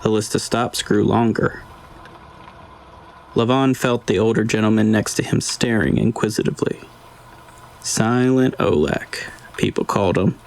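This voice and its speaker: A man reading scripted dramatic audiobook narration in a measured, deliberate way. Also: fairly low pitch, vocal fry. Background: ambient scene sound effects.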